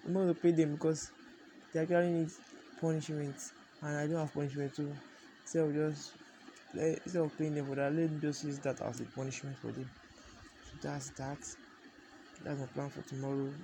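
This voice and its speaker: A man's voice: fairly high pitch, noisy background. A young man keeping a casual, unscripted audio diary, rambling and repeating himself as he thinks aloud. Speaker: audio diarist.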